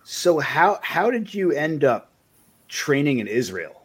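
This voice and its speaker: A man's voice: on the high side, slow pace, breathy edge. Conversational male podcast host. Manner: relaxed, conversational, loosely phrased questions. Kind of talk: podcast interview.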